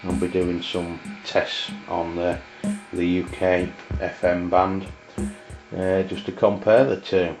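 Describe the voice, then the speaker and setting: A man with an English accent giving a casual hands-on product review in a halting, matter-of-fact way. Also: sits low, flat delivery, darkish tone, faint music behind. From England. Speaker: amateur radio reviewer. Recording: dull, lo-fi camera sound.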